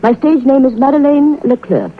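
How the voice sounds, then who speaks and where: Theatrical music-hall aunt, swooping old radio voice. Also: female voice, high voice, animated, normal speed. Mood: haughty, flustered. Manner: theatrical, affected, addresses others as "my boy". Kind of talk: 1940s radio acting. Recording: muffled, noisy vintage radio recording.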